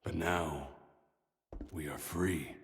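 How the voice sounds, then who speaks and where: Very deep, solemn soldier. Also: male voice, steady tone, very slow, gravelly, full character voice. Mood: solemn, reflective. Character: dying male soldier commander, solemn, weary, reflective. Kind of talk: dramatic video game acting. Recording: long reverberant hall echo.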